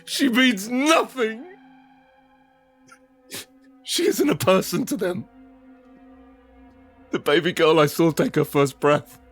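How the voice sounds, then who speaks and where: A man acting a desperate, anguished middle-aged father in a post-apocalyptic audio drama, narrating in the first person with raw intensity. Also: high voice. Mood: anguished grief. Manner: intense, emotionally raw, sweary. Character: desperate, anguished father surviving an apocalypse, haunted and guilt-ridden.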